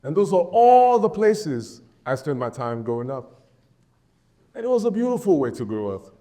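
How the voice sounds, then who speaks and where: A man from Haiti, a saxophonist, introducing his piece on stage in a heartfelt, deliberate way. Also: a little high, sing-song, warm tone. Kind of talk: live spoken introduction on stage.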